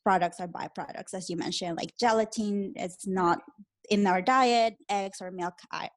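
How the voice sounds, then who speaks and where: A woman's voice: mid-range voice, moderate pace. Upbeat woman from Peru, interview guest. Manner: upbeat and earnest, explaining with fillers. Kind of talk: casual interview.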